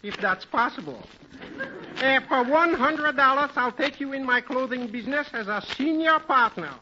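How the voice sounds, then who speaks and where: An actor playing a cheerful peddler with wheedling sales patter and little hoots, in 1940s radio comedy style. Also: male voice, very high voice, expressive, moderate pace, dull audio, heavily acted. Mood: eager selling. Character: cheerful fast-patter male peddler, comic old friend. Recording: bright thin hissy 1940s radio recording.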